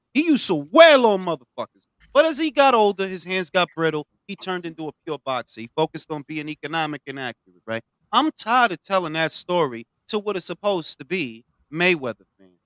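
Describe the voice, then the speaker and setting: Ranting profane man, wildly swinging pitch. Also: sing-song, brisk, no room sound. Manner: ranting, profane, swings from low mutters to loud outbursts. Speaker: podcast host. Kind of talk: heated boxing talk.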